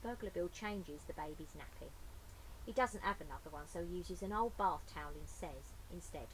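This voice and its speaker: A woman's voice: fairly low pitch, normal speed, low-quality recording. A woman reading a children's picture book aloud as storyteller, with playful asides to young listeners. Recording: faint background noise.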